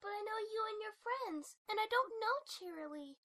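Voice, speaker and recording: A woman's voice: very high voice, lively intonation, moderate pace, sharp tone, no echo, clean studio sound, heavily acted. A woman voicing a timid, clingy little filly schoolgirl in an acted audiobook, with childlike, anxious questioning. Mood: anxious, pleading.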